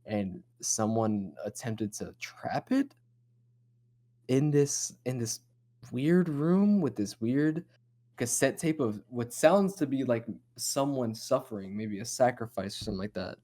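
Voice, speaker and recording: Mellow-voiced man chatting through horror lore. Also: mid-range voice, expressive, a little slow. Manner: rambling, profane, speculative. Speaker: host of a horror discussion show.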